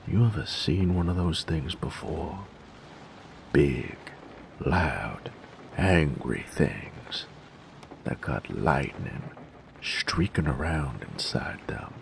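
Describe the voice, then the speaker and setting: Casting: gruff, jaded bandit drifter, played by a male actor, extremely low and heavily creaky, sardonic folksy drawl, for roleplay audio drama. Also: lively intonation, slow pace, long pauses, slight background noise, exaggerated voice. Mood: wry, musing. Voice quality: gravelly, very low voice.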